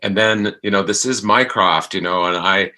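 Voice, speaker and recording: Deep, bright-voiced man, halting and reflective. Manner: halting, tentative, searching for words. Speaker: actor discussing his podcast drama. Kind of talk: reflective casual discussion.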